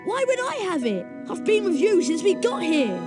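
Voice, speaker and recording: A woman's voice: very high voice, big pitch swings, moderate pace, over loud music. A woman voicing a worried, exasperated young schoolboy wizard in a video game.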